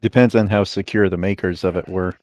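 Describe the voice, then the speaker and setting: A man's voice: low-pitched, fairly even intonation, brisk, darkish tone, dry recording. A man on a group voice call tossing off playful, dry one-liners in casual chat.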